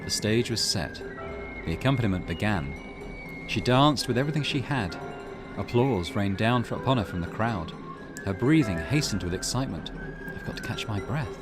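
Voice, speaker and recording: Low-voiced man reading a game aloud. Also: lively intonation, moderate pace. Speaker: gaming channel host. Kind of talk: let's play, reading game text aloud. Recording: music behind the voice. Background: game music.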